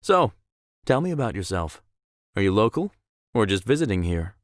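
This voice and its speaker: A man's voice: fairly low pitch, big pitch swings, normal speed, dry recording, clean studio sound. A man voicing a suave, self-assured young billionaire in a visual novel, with formal, polished and theatrical phrasing. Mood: curious. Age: young adult. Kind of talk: visual novel character acting.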